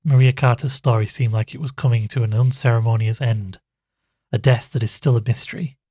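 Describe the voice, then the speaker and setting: Guy playing worried investigator in an audio drama, kinda raspy, hesitant, really dry sound. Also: medium pitch, fairly even intonation, fairly quick, dark tone, no echo, lightly acted. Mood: brooding, worried. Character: uneasy male amateur investigator recording his findings, anxious, earnest. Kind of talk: audio drama dialogue and first-person narration.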